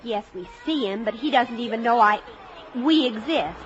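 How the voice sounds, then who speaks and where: Young woman as self-conscious American teen daughter, high-pitched and expressive, in a dull-sounding 1950s radio play. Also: normal speed, background noise, close to an everyday voice. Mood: flustered, protesting. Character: earnest, self-conscious teenage daughter who narrates the family story. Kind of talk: 1950s radio drama acting and narration. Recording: dull vintage radio broadcast with music.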